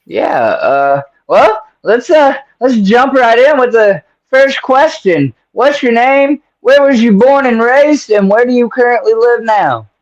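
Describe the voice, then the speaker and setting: A man's voice: very high-pitched, expressive, a little slow, few pauses. A man hosting a casual interview over a call, talking in a laid-back, slangy, rambling way. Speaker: interview host. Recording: dull lo-fi sound.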